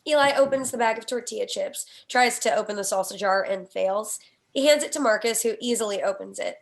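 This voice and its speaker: A woman's voice: medium pitch, a little fast, creaky. A woman reading out scene headings and action lines as scripted narration for a screenplay table read. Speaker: stage direction reader.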